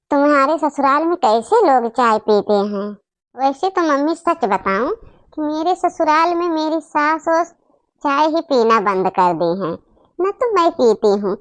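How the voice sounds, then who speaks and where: Cartoon spoiled young wife visiting her parents, played by a woman: boastful, disdainful, high-pitched, dry close sound. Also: dry recording. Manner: bragging, complaining. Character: boastful, snobbish young married daughter visiting home. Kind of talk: Hindi family comedy cartoon acting.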